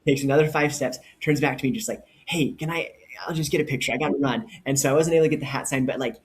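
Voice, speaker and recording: A man's voice: mid-range voice, fast talker, a little hiss or hum. A man co-hosting a podcast, telling stories casually and with enthusiasm. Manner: enthusiastic storyteller. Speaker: podcast co-host.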